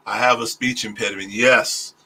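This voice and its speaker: Pastor guy doing a tax livestream on his phone, kinda low but bright voice, slows down careful, lots of uhs. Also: a little hiss or hum. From Oklahoma, US. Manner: speaks deliberately slowly to avoid stuttering, many "uh" fillers. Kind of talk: casual livestream talk on taxes.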